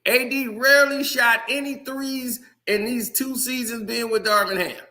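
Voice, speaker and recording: A man's voice: very high-pitched, fairly even intonation, measured pace, bright, forceful tone. A man hosting a casual sports commentary channel, ranting heatedly and talking straight to his viewers.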